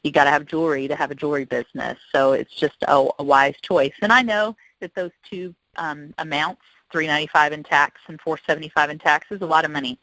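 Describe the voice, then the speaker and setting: A woman's voice: low voice, fast talker, fairly bright tone, no echo, poor audio. A woman giving an informal, upbeat sales presentation on a conference call, talking in a chatty, testimonial way as a guest consultant. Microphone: telephone conference call.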